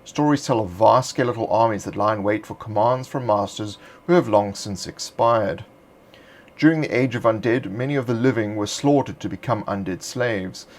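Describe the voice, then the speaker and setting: A man narrating a script in a measured, deliberate reading voice. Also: on the low side. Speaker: narrator of a game supplement.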